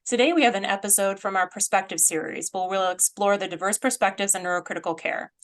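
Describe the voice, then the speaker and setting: A woman's voice: medium pitch, quick pace. A woman hosting a medical podcast, asking questions in a friendly, casual way. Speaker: podcast host. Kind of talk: podcast interview.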